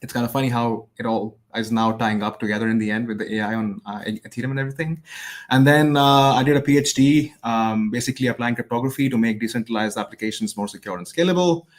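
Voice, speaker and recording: A man speaks quickly in a mid-range voice, as a startup founder guest. He tells his story in a casual, conversational way. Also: mid-range voice.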